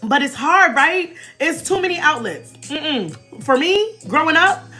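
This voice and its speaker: An American woman in her forties hosting a casual, chatty livestream with animated, emphatic delivery and informal slang. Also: high voice, measured pace. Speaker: livestream host. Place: small indoor room, backdrop behind. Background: faint music.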